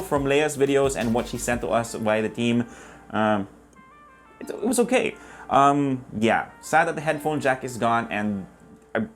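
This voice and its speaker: A man from the Philippines hosting a casual tech review, his voice sitting at an ordinary middle pitch. He talks in a relaxed, conversational way and speaks straight to his viewers. Soft music runs faintly underneath.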